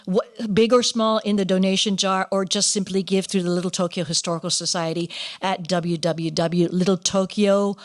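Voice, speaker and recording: Effusive woman emceeing a live ceremony. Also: medium pitch. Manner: effusive, emphatic, halting with dramatic pauses. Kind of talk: live event hosting, introducing presenters. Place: live in-person ceremony before an audience.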